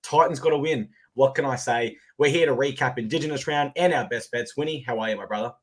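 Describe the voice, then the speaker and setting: A man with an Australian accent hosting a live sports betting podcast, his voice a little husky, recapping games with energy. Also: mid-range voice, fast talker. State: slightly husky voice. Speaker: podcast host.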